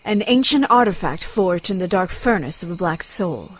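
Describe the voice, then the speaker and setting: A woman's voice: lowish voice, animated, moderate pace, slight background noise, lightly acted, rough recording. A woman voicing a fierce, proud, hot-tempered young Amazon warrior in a motion comic, blunt and dramatic. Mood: grave.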